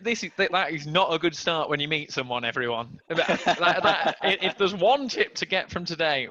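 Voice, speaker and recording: A man's voice: a little high, bright, forceful tone, no echo, dull audio. A man hosting a casual video podcast, joking and stumbling over restarts as he talks. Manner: chatty, jokey, stumbling restarts. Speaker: podcast host.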